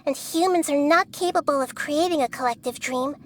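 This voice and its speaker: A woman voicing a gentle, wise young goddess who reasons calmly and softly. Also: high-pitched, brisk, a little hiss or hum, close to an everyday voice. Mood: explaining. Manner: calm, reasoning, soft-spoken.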